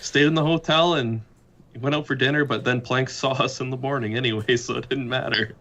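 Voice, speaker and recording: A man with a bright, forward tone telling relaxed stories in casual podcast chat. He swears freely and slips into quoted lines as he goes. His pitch sits in the middle of the range. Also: a little fast. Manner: laid-back storytelling, swears casually, acts out quotes. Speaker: podcast guest.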